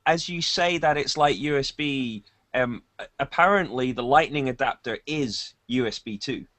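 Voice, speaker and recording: A man's voice: mid-range voice, moderate pace, fairly bright tone, dry recording. A man with a mid-range voice hosts a casual tech chat. His delivery is wry and lightly sarcastic. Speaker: podcast host.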